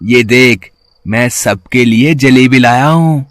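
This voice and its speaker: A man's voice: medium pitch, slow, no room sound, lightly acted. A man voicing a humble, good-hearted poor working boy in a cartoon story, polite and earnest. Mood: earnest, happy. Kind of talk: acted cartoon story dialogue.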